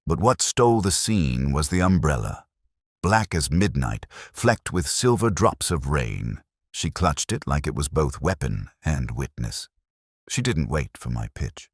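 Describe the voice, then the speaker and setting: A man with an extremely deep voice narrates a scripted mystery. His pitch moves in lively swings as he tells it with dramatic, wry timing. The sound is dry and close. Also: dry recording. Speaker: narrator and host of a mystery story channel. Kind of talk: scripted narration of a detective story.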